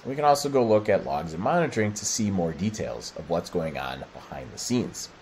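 A middle-aged American man presenting a software walkthrough, explaining step by step in short, halting phrases. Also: sits low, animated, slight background noise. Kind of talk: screen-share product walkthrough.

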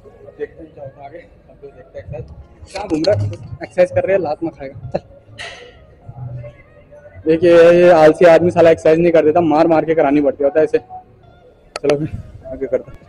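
A man's voice: on the high side, fairly even intonation, a little fast, long pauses, warm tone, soft background music, low-quality recording. A young man chatting casually and slangily in a gym vlog, rambling loosely with joking banter. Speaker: gym vlogger. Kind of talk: casual vlog chat, teasing banter with friends.

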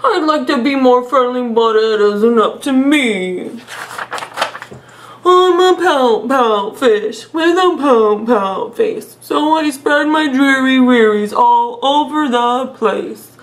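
A woman voicing a gloomy, mopey male fish from a picture book, with slow, drawn-out, mournful delivery. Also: high-pitched, animated, a little hiss or hum. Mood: gloomy, resigned. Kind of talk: children's picture-book character voice.

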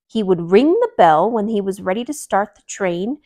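A woman voicing an enthusiastic older great-uncle rabbit in a storybook, with an excited delivery that grows loud. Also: mid-range voice, normal speed, close to an everyday voice.

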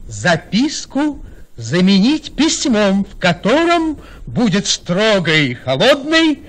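A man narrates a radio play with a high, lively, swooping voice. He tells the story slowly and steadily. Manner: measured storytelling.

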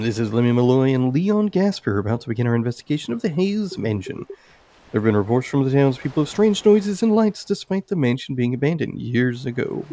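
A man's voice: mid-range voice, dark tone. A man reading an eager, curious young investigator's lines from a mystery visual novel, upbeat and inquisitive.

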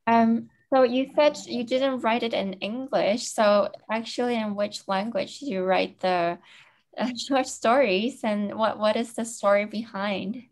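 A woman's voice: on the high side, measured pace. A woman taking part in a workshop on a video call, asking polite, curious questions. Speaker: workshop participant.

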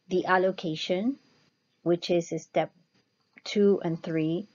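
Low-voiced woman lecturing, dull recording. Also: darkish tone. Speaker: accounting instructor. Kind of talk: slide lecture, explanatory narration. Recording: dull, muffled lo-fi voice-over.

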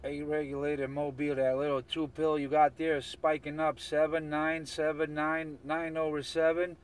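A man's voice: a little high, completely flat delivery, normal speed, clear, resonant tone. A man chatting casually in CB radio slang, often repeating his phrases. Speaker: CB radio operator. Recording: distant mic, noisy radio hiss.

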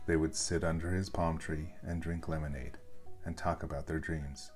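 A man's voice: extremely low pitch, level tone, moderate pace, darkish tone, soft background music. A man reading a children's storybook aloud as scripted narration. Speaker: storybook reader.

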